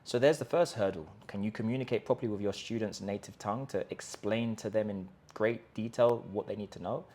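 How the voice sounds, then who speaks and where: A man with a fairly low voice delivers an emphatic talk to camera. He stacks up rhetorical questions and restarts his sentences as he pushes his point. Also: a little fast, a little mellow. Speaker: host of a language-learning channel. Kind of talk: direct-to-camera pitch talk. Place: in Colombia.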